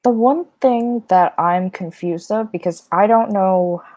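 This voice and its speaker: A woman's voice: medium pitch, measured pace, warm tone, dry recording. A young woman as a guest in a casual book discussion, asking questions in a tentative, hedging way.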